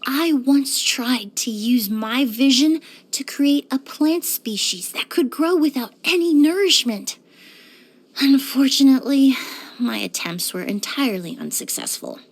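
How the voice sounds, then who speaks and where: A woman playing a composed, courteous young woman perfumer in a video game, speaking in a polite, measured, softly formal way. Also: high voice, animated, full character voice. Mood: courteous, inquisitive. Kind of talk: scripted video game character lines.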